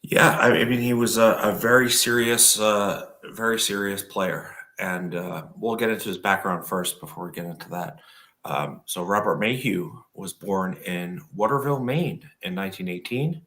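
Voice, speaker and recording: A man hosting a podcast, chatting casually and reading from notes, hoarse while recovering from illness, relaxed with many fillers. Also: on the low side, normal speed. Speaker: podcast host.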